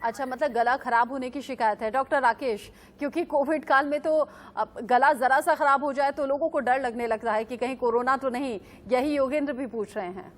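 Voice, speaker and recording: A woman anchoring a TV health show, putting questions to a doctor in a clear, measured way. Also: high-pitched, a little hiss or hum. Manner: clear, measured questioning with frequent back-channel hums. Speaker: news anchor.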